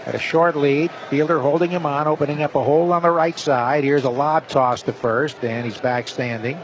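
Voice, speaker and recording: A man works as a baseball play-by-play announcer, calling the game live. His voice sits a little high. Some outdoor ballpark noise runs faintly behind him. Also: fairly even intonation, moderate pace, lo-fi sound. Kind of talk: live sports commentary. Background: outdoor stadium ambience.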